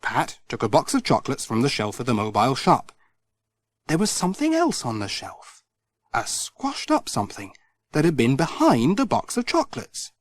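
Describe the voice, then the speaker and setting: Very animated male children's storyteller. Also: medium pitch, moderate pace, dry recording. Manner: expressive, storytelling. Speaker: audiobook narrator. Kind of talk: scripted children's storybook narration.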